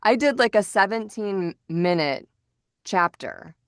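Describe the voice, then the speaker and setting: A woman's voice: mid-range voice, animated, slow, no echo, studio quality. A woman chatting casually as a podcast host who also works as a voice actor.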